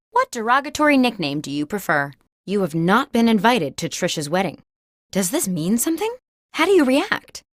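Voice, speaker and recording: A woman's voice: a little high, fairly bright tone, no room sound, clean studio sound. A woman playing a playful office-themed party game host, reading scripted comedic prompts with theatrical expression.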